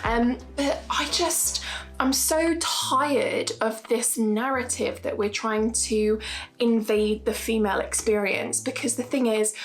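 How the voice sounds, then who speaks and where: A woman's voice: fairly even intonation, takes it slowly. A young woman in her twenties talking straight to camera in a casual vlog, earnest and emphatic, repeating her points for stress. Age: 20s. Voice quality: high-pitched voice. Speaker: YouTuber.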